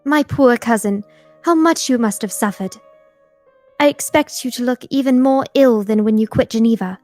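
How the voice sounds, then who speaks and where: A woman playing an affectionate, worried young gentlewoman, reading her letter in a formal, tender way. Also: fairly high pitch, moderate pace, soft background music, lightly acted. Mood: tender concern.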